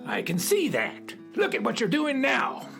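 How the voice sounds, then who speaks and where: Gravelly grumpy old sun. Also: female voice, fairly high pitch, huge pitch swings, fairly bright tone, faint music behind, exaggerated voice. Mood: scolding. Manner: scolding, indignant, drawn-out. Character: grumpy old male sun, cranky and scolding. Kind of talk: children's radio play acting.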